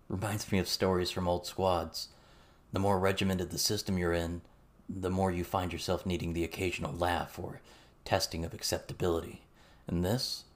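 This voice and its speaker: A man voicing a calm, weary soldier who speaks in a measured, grave, matter-of-fact way. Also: low-pitched, natural voice.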